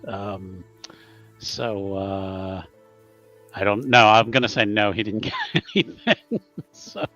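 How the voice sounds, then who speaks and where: A man's voice: fairly low pitch, slow pace, a bit bright, soft background music. A man playing a tabletop roleplaying game, talking in casual, improvised conversation, on a slightly lo-fi recording.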